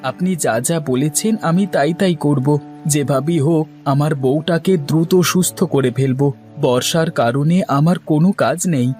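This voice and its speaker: Man acting a high-voiced devoted cartoon husband. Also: a little mellow, close to an everyday voice. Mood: earnest, worried. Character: devoted poor village husband, earnest and caring. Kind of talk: Bengali fairy-tale cartoon voice acting. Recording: music under the voice.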